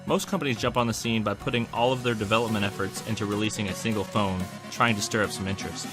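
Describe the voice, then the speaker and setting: A man's voice: on the low side, steady tone, quick pace, music in the background. A man hosting a scripted product review, narrating with wry, joking asides. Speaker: tech reviewer and host.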